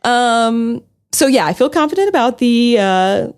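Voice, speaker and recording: A woman's voice: on the high side, lively intonation, a little slow, darkish tone, clear, resonant tone. A woman co-hosting a podcast in casual chat, talking freely with lots of "like" and easy laughter. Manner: chatty, leans on "like", breaks into laughter. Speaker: podcast co-host.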